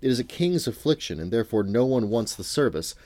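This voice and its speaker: A man's voice: medium pitch, sing-song, fairly quick, warm tone, dry recording, pitched above the performer's own voice, close to an everyday voice. A man voicing an eager, desperate magician in a storybook, with a florid, theatrical, declamatory manner. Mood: grandly persuasive.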